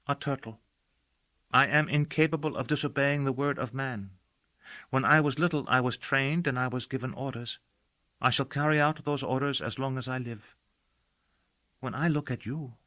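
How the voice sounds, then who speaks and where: A man voicing a calm, poised young girl who is really a turtle, speaking in a clear, warm, girlish way. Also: medium pitch, dry recording, voice pushed up, close to an everyday voice. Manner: serene, gentle, formal.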